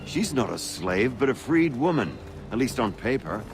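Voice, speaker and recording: An actor playing a genial, self-assured colonial patriot in a video game, speaking with polished eloquence. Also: male voice, mid-range voice, big pitch swings, normal speed, music in the background, natural voice. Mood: earnest, defensive. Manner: polished, oratorical, affable.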